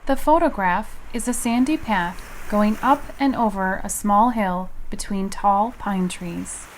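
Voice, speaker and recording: Woman audio describer, plain and steady, reads out picture descriptions. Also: medium pitch, measured pace, a little hiss or hum, rough recording. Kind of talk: plain descriptive narration of photographs.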